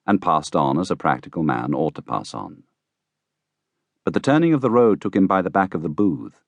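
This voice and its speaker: A man reading a classic novel as an audiobook narrator, with expressive, theatrical storytelling. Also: deep voice, dry recording. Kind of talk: scripted literary narration.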